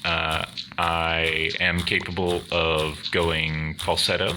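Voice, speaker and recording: A man with a deep, booming bass voice, rambling casually in wordy, formal phrasing as he hosts a gaming video. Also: little pitch movement, slow, background noise. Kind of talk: casual rambling commentary over gameplay.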